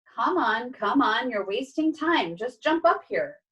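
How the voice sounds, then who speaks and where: Woman voicing a bossy, impatient male cat, pitched high. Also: lively intonation, unhurried, far from the mic, highs cut off. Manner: commanding, exasperated, insistent.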